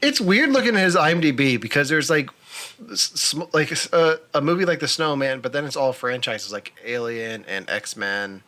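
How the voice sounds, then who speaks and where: A man's voice: medium pitch, lively intonation, normal speed, bright, forceful tone. A man co-hosting a podcast, talking casually about films in a wry, teasing way. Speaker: podcast co-host. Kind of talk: casual film discussion.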